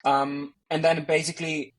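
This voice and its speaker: A man's voice: on the high side, little pitch movement, moderate pace, rough recording. A man from Greece, a native Greek speaker, chatting as an interview guest and talking with many fillers and restarts. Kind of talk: casual conversation.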